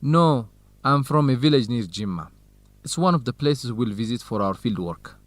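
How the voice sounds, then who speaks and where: An actor with an Ethiopian accent playing a polite, modest research partner in an educational radio drama, speaking earnestly. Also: male voice, mid-range voice, lively intonation, normal speed, no echo, natural voice. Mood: welcoming. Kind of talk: radio drama acting, measured educational dialogue.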